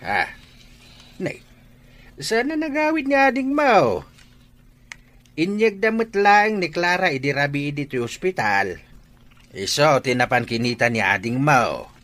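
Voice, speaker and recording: Bright, animated father acted by a man. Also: a little high, unhurried, long pauses. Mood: explaining. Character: animated, concerned father tending his sick child. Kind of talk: Ilocano radio drama acting.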